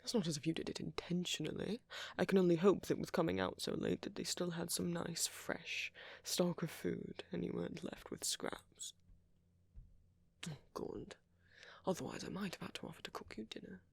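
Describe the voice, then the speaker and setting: A man playing a friendly, flirty vampire in an intimate ASMR roleplay, courteous and gently teasing, with a husky, murmuring voice close to the microphone. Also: high-pitched, moderate pace, no room sound, full character voice. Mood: gentle, teasing. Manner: gently teasing, polite old-fashioned phrasing, soft chuckles. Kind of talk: ASMR roleplay, intimate one-sided dialogue to the listener.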